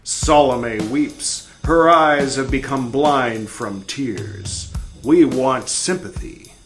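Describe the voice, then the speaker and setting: A man reading as an old male prophet who pleads for the dead in a measured, lamenting way. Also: medium pitch, faint music behind, lightly acted. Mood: pleading.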